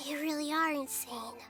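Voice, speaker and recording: A woman's voice: high voice, fairly even intonation, slow, sharp tone, heavily acted. A woman playing a small childlike goddess in a video game, wise, earnest and articulate. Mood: exasperated. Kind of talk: video game character acting. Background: game music.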